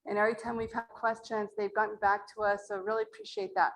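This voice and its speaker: A woman from Mora, New Mexico, with a low voice, speaking earnestly and plainly as an acequia representative at a community meeting in a library meeting room. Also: little pitch movement, quick pace, slight background noise, low-quality recording.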